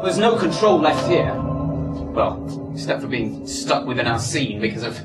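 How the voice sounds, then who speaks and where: Actor as a defiant young man, animated delivery. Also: mid-range voice, measured pace, loud music behind the voice. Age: young adult. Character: defiant, exasperated young man trapped in a play. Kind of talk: theatrical stage acting. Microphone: distant camera microphone. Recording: echoey stage, distant mic, loud background sound.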